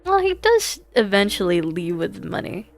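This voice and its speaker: A woman's voice: medium pitch, sing-song, moderate pace, faint music behind. A woman doing casual livestream game commentary, hesitant and trailing off as she thinks aloud to herself. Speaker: video game streamer. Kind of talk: casual livestream gameplay commentary, muttering choices to self.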